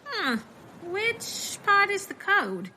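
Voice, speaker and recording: A woman playing a chatty, blunt gal in a comic audio mystery, with deadpan asides. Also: very high-pitched, drawn-out words, noisy background, exaggerated voice. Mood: reading aloud, unimpressed. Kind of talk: comic audio drama acting.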